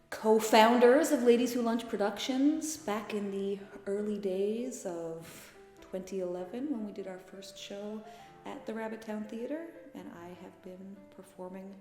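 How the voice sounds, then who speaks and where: A woman's voice: mid-range voice, darkish tone. A woman talking casually in an interview as a performer. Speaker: theatre company co-founder and performer. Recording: echoey hall.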